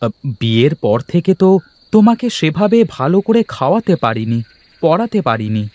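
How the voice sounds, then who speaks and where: A man's voice: fairly high pitch, sing-song, moderate pace, a little mellow, no echo. A man voicing a poor, hardworking village farmhand and devoted husband in a cartoon, earnest, deferential and plaintive. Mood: affectionate. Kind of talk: Bengali moral-story cartoon voice acting.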